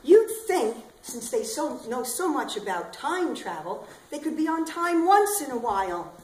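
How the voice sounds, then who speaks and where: A woman acting out a brash, eccentric street bag lady with a loud voice and a high, swooping pitch. She teases and rambles straight at her listeners, drawing her words out slowly. The sound is an echoey hall with faint room noise. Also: very animated. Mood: rambling. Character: eccentric loud middle-aged bag lady waiting for aliens. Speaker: stage actor. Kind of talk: theatrical comic monologue. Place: small theater stage.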